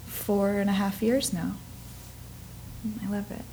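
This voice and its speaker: A woman in her twenties from Minnesota, telling her life story in an interview, earnest and reflective, sometimes dropping to soft breathy asides. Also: medium pitch, steady tone, takes it slowly, a little hiss or hum, poor audio. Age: 20s.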